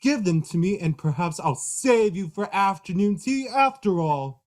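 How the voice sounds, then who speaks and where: A storybook lion , as a woman puts him on, vain and hot-tempered, who blusters and roars. He speaks slowly and gruffly, dragging out his threats. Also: mid-range voice, animated, darkish tone, natural voice. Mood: menacing. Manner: roaring, blustering, slow and gruff.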